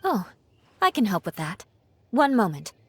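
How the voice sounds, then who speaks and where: A woman's voice: mid-range voice, sing-song, measured pace, a little hiss or hum. An actress playing a composed, kindly, no-nonsense young woman in a video game. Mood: helpful. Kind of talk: video game cutscene acting.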